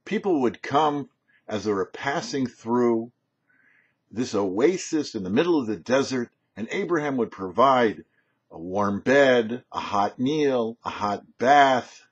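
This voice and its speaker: An older man giving a short religious teaching as a rabbi, emphatic, with rhetorical questions and pauses. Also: medium pitch, expressive, husky. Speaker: rabbi and host of a weekly Torah show. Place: small home office, indoors. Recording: dull, lo-fi webcam sound.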